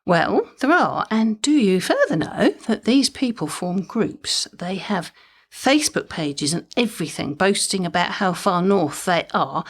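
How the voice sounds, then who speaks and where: A woman playing a chatty, wry mother with a mischievous streak in an audio drama comedy, speaking conversationally with dry timing. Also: mid-range voice.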